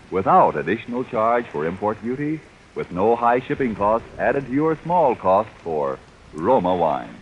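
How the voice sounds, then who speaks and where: A man reading a scripted sponsor advertisement as a 1940s radio announcer, polished and formal. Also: medium pitch. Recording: muffled, noisy vintage radio recording.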